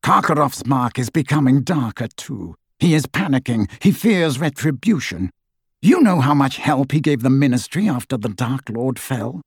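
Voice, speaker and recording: Audiobook role: bitter, anguished wizard in middle age man performing, husky, intense and contemptuous, very dry sound. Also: mid-range voice, expressive, moderate pace, no echo. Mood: low, guarded. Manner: tormented, clipped, contemptuous delivery.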